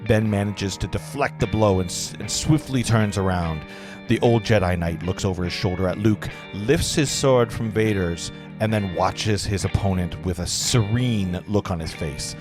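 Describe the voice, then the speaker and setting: Guy with a lowish voice reading stage directions at a table read, earnest, trips up a bit, music playing behind him. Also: moderate pace. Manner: earnest, stumbles and rereads.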